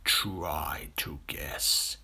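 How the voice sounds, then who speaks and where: A man voicing a sly, boastful, greedy crocodile villain in a children's storybook, in a hissing half-whisper with drawn-out, gloating words. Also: rumbling low, steady tone, sharp tone, husky, pitched below the performer's own voice, full character voice. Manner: slow, gloating, savouring menace.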